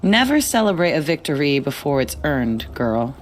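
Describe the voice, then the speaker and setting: Cocky young huntress in a game, deep for a woman, swingy delivery, wry. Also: sing-song, moderate pace, a little hiss or hum. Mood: wry, competitive. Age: young adult. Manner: wry, self-assured. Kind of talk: video game voice acting.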